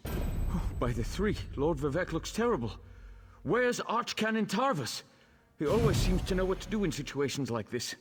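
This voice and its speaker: Nervous game acolyte: fretful, husky, animated delivery, long reverb and faint music. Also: male voice, high voice, normal speed. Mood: panicked, pleading. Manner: flustered, stammering, fretful. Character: anxious, flustered, devout male temple follower. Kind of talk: video game dramatic acting.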